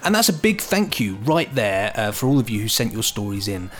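A man co-hosting a podcast in casual chat, jokey and excitable, often searching for his words. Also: lowish voice, a little fast. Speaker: podcast co-host.

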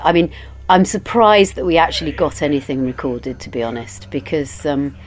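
A woman's voice: low-pitched, a little mellow. A woman, a drama performer, chatting about work in a behind-the-scenes interview.

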